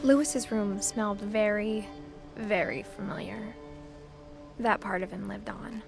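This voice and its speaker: A young woman playing a reflective teenage girl who recalls her family in measured, understated game narration. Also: mid-range voice, fairly even intonation, creaky. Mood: quiet, wistful. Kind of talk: scripted first-person game narration. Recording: music behind the voice. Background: music.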